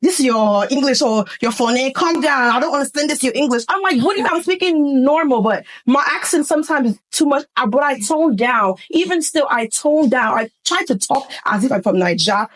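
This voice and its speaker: Animated woman with a slightly high voice. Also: a little fast, few pauses. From United States. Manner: candid and animated, apologetic asides. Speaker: discussion participant. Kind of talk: casual discussion.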